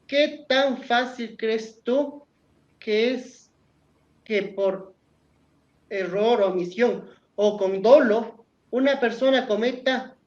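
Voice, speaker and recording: An Ecuadorian man from Quito gives a lecture on law over a video call, earnest and explanatory, with the occasional restart. His voice sits at a middle pitch and carries a noticeable room echo. The sound is a bit dull and muffled. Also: darkish tone. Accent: Ecuadorian Spanish, Quito. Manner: earnest, explanatory, with frequent hesitations. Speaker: lawyer, conference speaker. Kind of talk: virtual conference lecture. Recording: echoey, somewhat dull video call audio.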